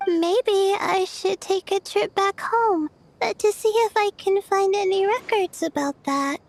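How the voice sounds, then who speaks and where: A woman's voice: very high-pitched, measured pace. An actress playing an earnest young woman student who speaks hesitantly and gets flustered. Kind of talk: video game voice acting. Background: game music.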